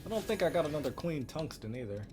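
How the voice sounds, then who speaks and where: A man's voice: on the high side, sing-song, fast talker, noisy background. A man giving casual commentary on a game as he live streams it. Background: game music.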